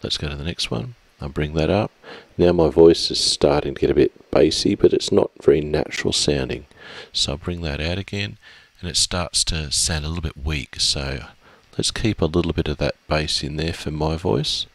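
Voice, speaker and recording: Middle-aged man, very low gravelly voice, dry close mic, patient walkthrough teacher. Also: moderate pace, no echo. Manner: steady step-by-step explaining with fillers. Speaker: music tech teacher. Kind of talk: screen-recorded software tutorial.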